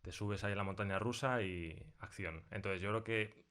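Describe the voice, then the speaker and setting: A man's voice: on the low side, normal speed, a bit bright, clear, resonant tone. A man from talking casually as a sound designer being interviewed in a studio. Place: recording studio.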